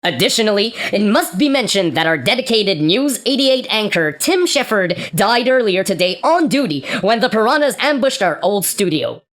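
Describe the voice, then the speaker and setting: Woman as lively, blunt sci-fi radio play lead. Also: mid-range voice, normal speed, few pauses, close to an everyday voice. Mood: newscaster delivery. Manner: blunt, wry, swearing. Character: exasperated, sweary starship captain and news anchor.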